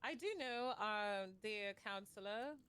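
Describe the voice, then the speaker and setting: A woman playing a measured discussion leader in a fantasy realm, feeling out her words and probing with questions in live online roleplay. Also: mid-range voice, a bit bright. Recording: clearly noisy mic with clicks.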